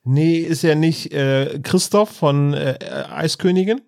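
Man making quick asides. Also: on the high side, vocal fry, a little mellow, no room sound, clean studio sound. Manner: quick asides, laughs easily. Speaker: podcast co-host. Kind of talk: casual chat reviewing an audio drama.

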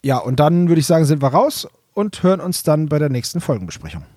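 A man hosts a podcast in casual, conversational style. His voice sits high for a man and has a husky edge. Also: very animated, quick pace, mellow, no room sound, studio quality. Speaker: podcast host.

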